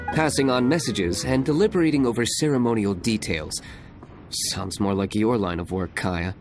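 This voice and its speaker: An actor playing a stern, reserved young tavern owner in a video game, speaking formally and with dry economy. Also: male voice, fairly low pitch, moderate pace, natural voice. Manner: deadpan, terse, formal. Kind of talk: English video game voice acting. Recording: music playing behind the voice.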